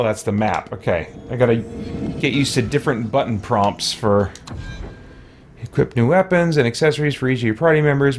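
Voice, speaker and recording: Guy doing casual game commentary, kinda talking to himself, normal pitched voice. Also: slight background noise. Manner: musing, talks to himself. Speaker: gaming let's-play host. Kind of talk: casual gameplay commentary, thinking aloud.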